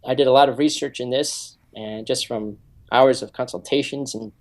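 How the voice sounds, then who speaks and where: A man's voice: mid-range voice, fairly even intonation, moderate pace. Earnest man explaining on a radio interview. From United States. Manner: earnest, explanatory, frequent ums and restarts. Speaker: security consultant guest. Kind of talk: interview answers.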